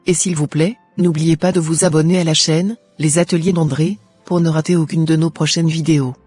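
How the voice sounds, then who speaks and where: Earnest churchgoing sister in a cartoon played by a woman, husky, quiet music. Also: sits low, fairly even intonation, brisk, no echo. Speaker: channel co-host. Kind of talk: scripted cartoon voice-over.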